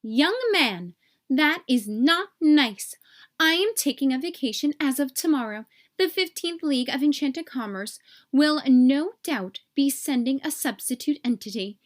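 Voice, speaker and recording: Woman as storybook tooth fairy: high-pitched, pompous, prim official, stern and formal. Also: animated, unhurried. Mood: offended, prim. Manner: formal, pompous recitation of regulations.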